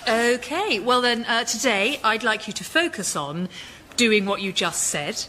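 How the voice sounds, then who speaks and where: An actress playing a puzzled, agreeable office worker in radio sketch comedy, hesitant and earnest, with loud noise behind. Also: female voice, a little high, measured pace. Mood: earnest, obliging.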